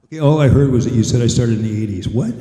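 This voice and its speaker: A man's voice: medium pitch, quick pace, dark tone. An older man, a veteran voice actor, giving conversational, plain-spoken advice with a wry, folksy manner on a panel. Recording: echoey hall, long reverb.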